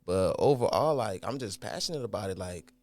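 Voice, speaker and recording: Young man from Haiti, rapper guest, low-pitched, laid-back, studio. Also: lively intonation, a little fast, darkish tone. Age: young adult. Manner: laid-back, brief answers, slangy. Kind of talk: casual interview. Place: podcast studio.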